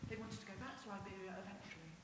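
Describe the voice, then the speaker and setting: A woman with a husky voice puts an informal question to a panel from the audience. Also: mid-range voice, rapid-fire. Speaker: audience member. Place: large panel hall, public address microphone. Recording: echoey hall with long reverb.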